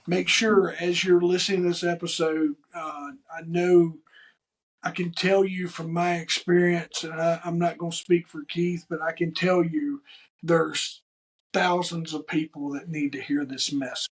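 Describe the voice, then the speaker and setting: A podcast host with a Southern accent, his voice sitting a bit high for a man. He speaks in a flat, level tone, earnest and warm-hearted in his words, often restarting and repeating phrases. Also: measured pace. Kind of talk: podcast interview.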